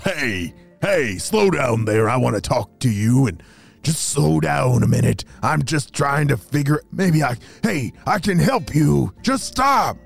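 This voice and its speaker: A storyteller voicing a sly, coaxing male wolf with a gravelly, husky voice in a children's story. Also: medium pitch, lively intonation, a little slow, soft background music, pitched below the performer's own voice.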